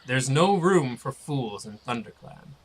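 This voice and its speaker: A man's voice: mid-range voice, lively intonation, husky, rough recording. A man voicing a stern, menacing warrior tomcat. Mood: cold. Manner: cold, slow, threatening. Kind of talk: fan audiobook voice acting.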